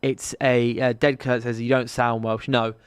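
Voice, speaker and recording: A man's voice: medium pitch, little pitch movement, normal speed, clean tone, no echo. Chatty man on a live stream. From London. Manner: chatty, rambling with restarts, addresses viewers. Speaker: podcast co-host. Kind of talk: casual sports chat on a live stream.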